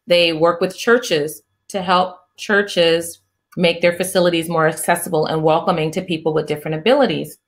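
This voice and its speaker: A middle-aged woman hosting an interview, talking in a soft, soothing way with many restarts. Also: on the low side, fairly even intonation, moderate pace. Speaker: interview host, psychiatrist. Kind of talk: casual interview chat.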